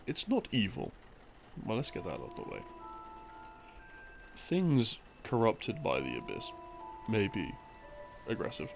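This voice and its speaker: A man's voice: on the low side, huge pitch swings, a little slow, vocal fry, pauses often. A man giving a rambling, intimate, casual explanation of lore, with wry, hesitant asides. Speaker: audio creator narrating as a boyfriend explaining game lore. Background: soft game theme music.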